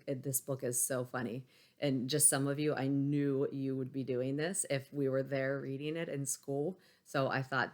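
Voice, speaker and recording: A woman teacher reading a picture book aloud to young pupils, expressive, with chatty asides. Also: rumbling low. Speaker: elementary school teacher.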